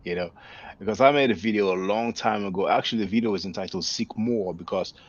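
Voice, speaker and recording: A man in a casual talk, explaining slowly and measuredly, often trailing off softly. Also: on the low side. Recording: dry, muffled lo-fi audio.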